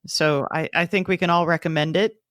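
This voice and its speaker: A woman's voice: on the low side, fairly even intonation, a little fast, fairly bright tone, dry recording, clean studio sound. A woman co-hosting a podcast, talking casually in a matter-of-fact way. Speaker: podcast co-host. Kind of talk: casual chat.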